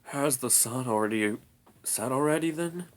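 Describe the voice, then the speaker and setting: Woman putting on a low, hoarse, drowsy dragon. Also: normal speed. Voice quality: hoarse, murmuring. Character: sleepy, calm male dragon, gentle authority.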